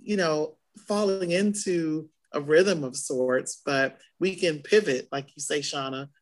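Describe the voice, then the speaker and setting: A hoarse middle-aged woman co-hosting a podcast in casual chat, animated as she acts out her own past reactions. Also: low-pitched, measured pace. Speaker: podcast co-host.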